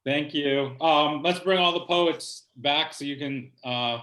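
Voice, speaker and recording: A man hosting a virtual poetry reading from home, talking in a relaxed, halting way with many ums, and reading a poem aloud. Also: fairly high pitch, rough recording. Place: home, video call. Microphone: laptop mic on video call.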